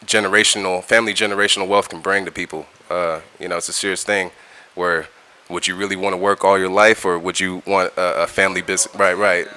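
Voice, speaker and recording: A middle-aged man speaks in a very low, resonant voice. He talks in a relaxed, slangy way, looping back over words as he goes. His tone is forceful and crisp. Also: fast. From California, United States. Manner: laid-back and slangy, repeats words and restarts. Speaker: music producer guest. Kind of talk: casual interview talk.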